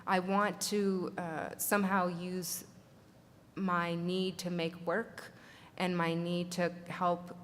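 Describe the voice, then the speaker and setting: A woman artist giving a grateful, halting panel talk about becoming a mother. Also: on the low side, little pitch movement. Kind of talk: conference talk. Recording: reverberant hall with faint noise.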